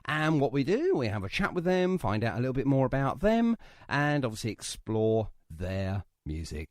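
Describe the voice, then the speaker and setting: A man's voice: medium pitch, sing-song, moderate pace, a little mellow, no echo, studio quality. A British man hosting a radio show in an informal, chatty, jokey way with self-deprecating asides. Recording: music behind the voice. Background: music.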